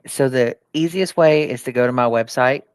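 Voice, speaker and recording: A man with a mid-pitched voice talks as a candidate being interviewed, in a dry recording with little room sound. His delivery is conversational and plain-spoken, with phrases repeated for emphasis. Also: moderate pace, smooth, no echo. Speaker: political candidate, podcast guest.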